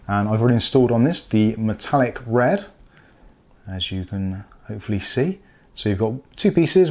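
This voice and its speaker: Male review host, mid-pitched voice, casual explanatory delivery for unboxing videos. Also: darkish tone. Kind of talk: casual scripted-feel product review to viewers.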